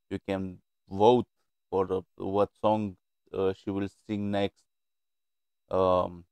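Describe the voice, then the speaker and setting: A middle-aged man hosting a reaction video, talking in a measured way with many filler pauses, from a small room at a desk mic. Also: deep voice, a little mellow, smooth, no echo. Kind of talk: casual commentary. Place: small plain room indoors.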